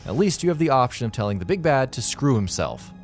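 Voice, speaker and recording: A man's voice: mid-range voice, very animated, fast talker, faint music behind. A man reading scripted countdown narration in a wry, conversational way. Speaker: video narrator.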